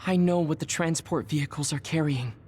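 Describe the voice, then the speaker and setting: A man's voice: fairly high pitch, brisk, background noise, lightly acted. An actor playing a serious, dutiful male soldier in a video game cutscene. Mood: grave.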